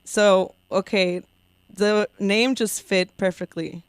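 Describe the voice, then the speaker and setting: A woman's voice: mid-range voice, steady tone, slow pace, clear, resonant tone, no room sound. A woman hosting a casual college radio interview, Spanish her first language, bubbly and teasing, laughing often. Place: radio studio.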